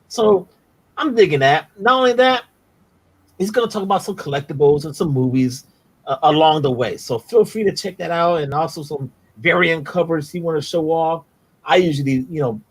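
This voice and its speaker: A man's voice: a little high, rough recording. A man hosting a casual online show, talking in a laid-back slangy way with many fillers. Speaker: host. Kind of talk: casual chat, channel shout-out.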